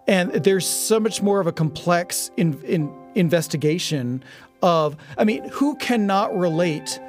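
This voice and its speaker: A middle-aged man as a podcast guest, talking casually and thoughtfully about film in a halting, reflective way. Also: a little high, a little mellow. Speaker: podcast guest, audio drama producer.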